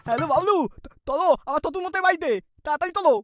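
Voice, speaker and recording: Cartoon son-in-law , female performer: very high voice, agitated grumbler, music behind. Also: rapid-fire. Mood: eager. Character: younger village son-in-law, agitated, complaining, eager avenger. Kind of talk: cartoon dialogue.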